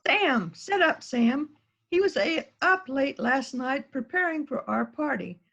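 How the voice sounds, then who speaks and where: Woman acting high, creaky flustered housewife. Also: lively intonation, unhurried, a bit bright, full character voice. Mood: flustered concern. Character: polite flustered housewife hosting an anniversary party. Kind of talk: amateur radio-style readers theater. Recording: dull, lo-fi sound.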